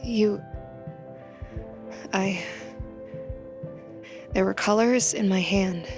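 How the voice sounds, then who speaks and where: An actress playing a shaken, hesitant young woman explorer in an audio drama, speaking in a halting, tentative way. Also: mid-range voice, steady tone, music in the background. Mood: dazed.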